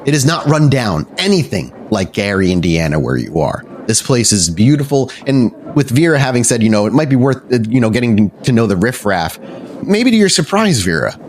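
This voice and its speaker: A man's voice: medium pitch, big pitch swings, brisk, a little hiss or hum. A man narrating a tabletop roleplaying game descriptively as game master.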